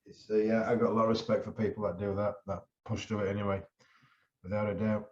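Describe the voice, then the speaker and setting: Show promoter, loud and repetitive, casual podcast chat, echoey muffled sound. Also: male voice, fairly low pitch, little pitch movement, fast talker. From England. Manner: loud-voiced, repeats phrases. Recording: roomy, dull sound.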